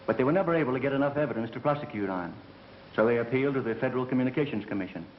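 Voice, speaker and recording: An actor with an American accent playing a brisk, candid undercover federal man in a 1930s film. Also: mid-range voice, animated, close to an everyday voice. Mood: candid, explanatory. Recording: muffled, echoey, hissy 1930s film soundtrack.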